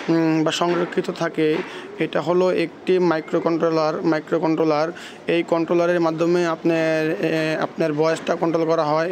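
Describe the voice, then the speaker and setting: A man gives a halting hands-on technical walkthrough with frequent false starts, as a video presenter. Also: on the high side, background noise.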